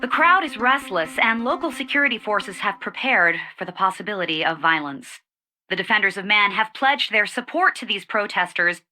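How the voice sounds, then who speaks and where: An actress playing a formal, brisk news reporter reading a scripted bulletin in a game. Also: female voice, mid-range voice, pushed and bright.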